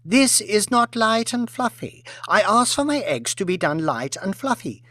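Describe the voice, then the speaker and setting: Male reader as aristocratic woman, shrill, petulant, imperious, melodramatic, dramatic pitch swings, audiobook character. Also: animated, a little slow, bright, forceful tone, dry recording, studio quality, exaggerated voice. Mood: indignant, demanding. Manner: petulant, sobbing self-pity turning to furious outrage. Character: imperious, shrill, histrionic aristocratic lady throwing tantrums.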